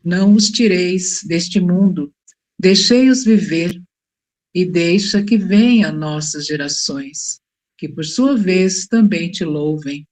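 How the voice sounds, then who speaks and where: Prayer reader, mid-pitched voice, slow and solemn. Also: darkish tone. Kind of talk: reading a prayer aloud.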